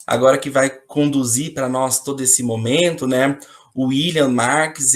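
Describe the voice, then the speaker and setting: A Brazilian man hosting an online talk, introducing guests in an informal, chatty way. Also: medium pitch.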